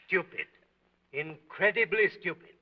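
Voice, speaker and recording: An actor playing a sarcastic middle-aged police prefect questioning witnesses curtly on a muffled 1930s film soundtrack. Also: male voice, sits high, unhurried. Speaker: interrogator.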